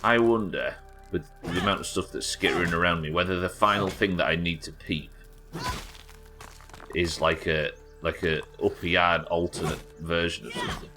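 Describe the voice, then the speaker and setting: Low, croaky British man streaming. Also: steady tone, normal speed, music in the background. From United Kingdom. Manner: chatty, swears, reads chat aloud. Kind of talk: casual gameplay chat.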